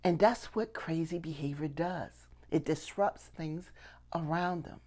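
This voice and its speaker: A woman's voice: low voice, measured pace, darkish tone. A middle-aged woman giving a casual lesson to camera, conversational and often checking in with little questions. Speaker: puppetry instructor and channel host. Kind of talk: casual instructional talk to camera. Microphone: clip-on lapel mic.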